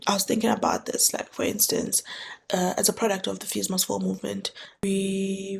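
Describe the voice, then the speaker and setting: A woman's voice: mid-range voice, creaky, a little hiss or hum. A young woman from Zambia hosting a solo podcast, talking reflectively with lots of fillers and restarts. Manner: thoughtful, many fillers and restarts, conversational. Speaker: podcast host.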